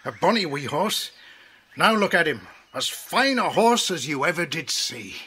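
Homely horse owner for a kids audio play, kinda high lively voice, bit of tape noise. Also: male voice, unhurried, husky, exaggerated voice. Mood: fond, proud. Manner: homely, plain-spoken, affectionate. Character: kindly, grateful working man devoted to his horse. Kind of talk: children's audiobook dramatization. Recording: noisy cassette tape with clicks.

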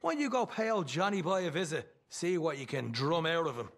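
High-pitched, cheeky Irish rogue. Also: male voice, animated, a little fast. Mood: cheeky, boastful. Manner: jovial, rambling, teasing. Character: cheeky, chatty young Irish gang rogue. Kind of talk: video game cutscene acting.

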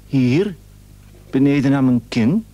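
A man's voice: medium pitch, lively intonation, slow pace, warm tone. An actor playing an anxious, frightened husband who stammers and repeats his words in a radio play. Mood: uneasy, hesitant. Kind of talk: Dutch radio play acting.

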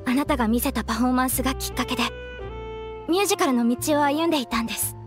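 A devoted young troupe actress, played by a woman, speaks in a high voice with respectful, wistful earnestness. It is polished game acting with music behind. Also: racing, lightly acted.

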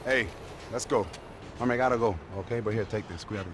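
An actor playing an exasperated young nightclub worker from the streets in a video game, using slang with bits of Spanish. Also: male voice, on the low side, fast talker, a bit bright, slight background noise, lightly acted. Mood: weary. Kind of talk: video game cutscene acting.

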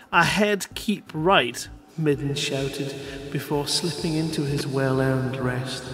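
High-pitched man hosting a live stream. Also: fairly bright tone. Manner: dramatic, drawn-out delivery when reading, sweary. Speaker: host of a live community show reading listeners' short stories. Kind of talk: live stream reading and banter. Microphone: headset. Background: music in the background.

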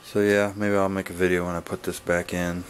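A man giving a casual, laid-back vlog update in a halting, plain-spoken way, recorded on a handheld camera in a garage. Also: low voice, little pitch movement, slight background noise. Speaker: wood-splitting video blogger. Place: garage, open to outdoors. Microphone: handheld camera's built-in mic.

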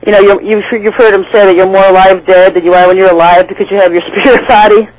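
A woman's voice: medium pitch, fairly even intonation, fast, a little mellow, dry recording, poor audio. A woman hosting a call-in radio show, chatty and laughing easily, often restarting her sentences.

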